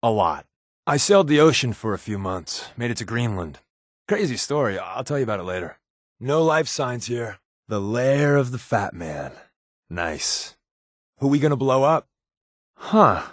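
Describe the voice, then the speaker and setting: An actor playing a sardonic, joking spy companion in a wasteland video game, with a dry, deadpan delivery. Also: male voice, medium pitch, no echo. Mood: incredulous.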